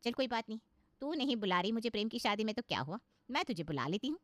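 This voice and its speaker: A woman's voice: fairly high pitch, big pitch swings, fast, no room sound. A woman voicing a boastful, gossipy middle-aged aunt in a cartoon story, chatty and knowing. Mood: boastful, advising.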